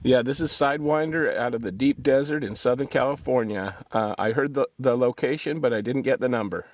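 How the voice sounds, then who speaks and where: A man's voice: medium pitch, a little fast, no echo. Laid-back man calling out on CB radio. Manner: relaxed, hesitant, lots of uh's. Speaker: CB radio operator. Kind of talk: CB radio calls and chat. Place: in a Jeep out in the desert.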